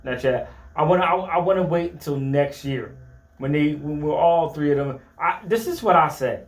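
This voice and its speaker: A man's voice: fairly high pitch, moderate pace, echoey room. A man co-hosting a casual sports chat show, giving opinionated takes with lots of stammered restarts.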